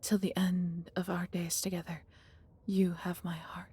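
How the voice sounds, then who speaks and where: A female dragon , acted by a woman speaks in a fantasy audio roleplay, fiercely protective and full of affection. The voice is heavily breathy and husky, on the low side, with a dark, soft tone. The delivery is theatrical yet intimate, in formal storybook phrasing. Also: flat delivery, measured pace. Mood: tender, intimate.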